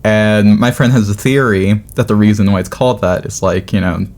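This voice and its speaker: A man's voice: on the low side, a little fast, a little mellow. A young man hosting a casual podcast conversation, thinking aloud in a tentative, loose and informal way. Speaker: podcast host. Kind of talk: casual reflective chat.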